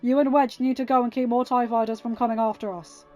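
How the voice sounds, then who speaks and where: A woman's voice: on the high side, steady tone, quick pace, muffled recording, faint music behind, pitched above the performer's own voice, natural voice. Woman as commanding starfighter pilot father, raised voice. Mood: urgent, commanding. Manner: instructing, shouted orders over battle.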